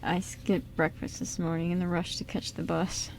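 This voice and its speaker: A woman's voice: lowish voice, flat delivery, normal speed, gravelly, a little mellow. Radio play buddy role: kindly, sheepish young guy woman performing, casual delivery, slight background noise. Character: caring, hungry young man, loyal friend of ghost. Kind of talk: amateur radio drama script reading.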